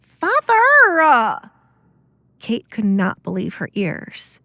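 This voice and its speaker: A woman's voice: on the low side, normal speed, no room sound. A woman reading scripted story narration for an audio drama.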